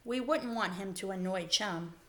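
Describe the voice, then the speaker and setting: A woman voicing a brisk adult camp leader giving directions in a storybook reading. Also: mid-range voice, pushed and bright, lightly acted.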